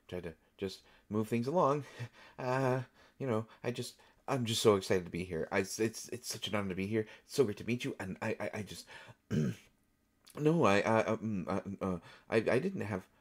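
A man's voice: fairly low pitch, creaky. A man performing an audio roleplay as an overexcited, anxious, over-caffeinated actor, stammering and repeating his words as he rambles. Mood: flustered, jittery. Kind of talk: solo audio roleplay acting, one side of a conversation.

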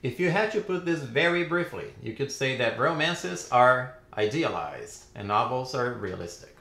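Lively man lecturing with animated pitch. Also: moderate pace, reverberant. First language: Portuguese. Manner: lively, expressive, gestures with hands. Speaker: literature lecturer. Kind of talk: video lecture. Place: home study with bookshelves. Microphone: camera framing, chest-up.

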